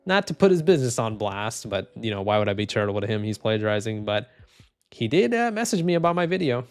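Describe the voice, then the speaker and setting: A man streaming casually, reading chat comments in a low, even, unhurried voice with lots of fillers and restarts. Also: smooth. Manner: laid-back, rambling, many fillers and restarts.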